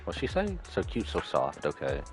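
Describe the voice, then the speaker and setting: A man's voice: rumbling low, normal speed. A man live-streaming a video game, reacting casually as he plays and reading bits of its text aloud. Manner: animated, casual. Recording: faint game music behind.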